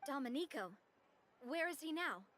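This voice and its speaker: An actress voicing a confident young gang boss in a video game, brisk, assured and helpful. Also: female voice, high-pitched, expressive, a bit bright. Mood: frank.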